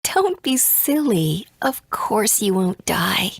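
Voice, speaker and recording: A woman playing a soothing, blithely deadpan mother in a video game, with a breathy, hushed voice. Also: mid-range voice, takes it slowly, no room sound, clean studio sound, exaggerated voice. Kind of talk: English game dub.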